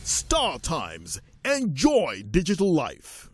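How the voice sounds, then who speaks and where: A man's voice: fairly high pitch, huge pitch swings, takes it slowly. A man reading a promotional sports advert voice-over, with music behind him. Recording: voice over loud music bed.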